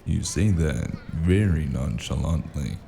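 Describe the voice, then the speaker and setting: A man playing a weary, wounded noir detective in an audio drama, gruff and deadpan, with a gravelly voice and dry wit. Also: extremely low pitch, slow, exaggerated voice. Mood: dry, laconic. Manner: laconic, deadpan.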